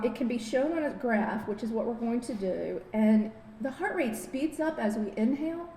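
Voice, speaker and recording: A middle-aged woman who works as a biofeedback therapist, giving a friendly, informal wellness talk with slides at a podium microphone in a conference room, with many fillers and restarts. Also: dark tone. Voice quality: says she has a very low voice. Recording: roomy hall, faint noise.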